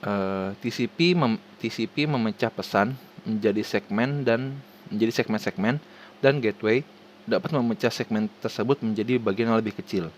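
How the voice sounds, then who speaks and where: Man, Indonesian accent, lowish voice, formal read-aloud presentation, halting with stumbles, faint noisy background. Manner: formal, halting, stumbles over words and restarts them.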